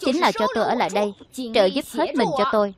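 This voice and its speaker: A woman's voice: a little high, lively intonation, quick pace, over a noisy crowd, lightly acted. A woman voicing a small, self-important alien captain in a cartoon dub, giving orders in a commanding manner. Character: small bossy alien captain, earnest defender of Earth. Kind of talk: cartoon dubbing. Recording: loud music under the voice.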